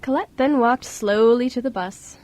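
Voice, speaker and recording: A woman's voice: fairly high pitch, normal speed, a little mellow. A woman reading scripted story narration as narrator of an amateur radio play. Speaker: radio show co-host and narrator.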